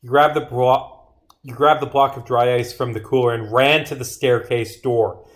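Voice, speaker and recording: Male streamer and indie developer, medium pitch, theatrical script read-through mixed with muttered asides. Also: fairly even intonation, moderate pace. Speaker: streamer and visual novel developer. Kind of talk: livestream of reading own game script aloud with commentary.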